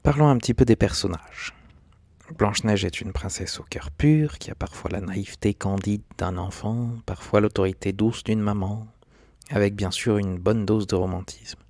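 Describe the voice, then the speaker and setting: A man's voice: on the low side, normal speed, a little mellow, no echo, clean studio sound. A man giving scripted, documentary-style narration as a video essayist.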